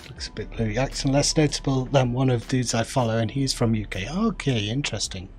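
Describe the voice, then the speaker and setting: A man with a mild Northern English accent, chatting in a relaxed, musing way while playing a game on a live stream and answering chat. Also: mid-range voice, a little fast, music in the background. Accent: mild Northern English, softened by years in the south. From northern England. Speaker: gaming streamer.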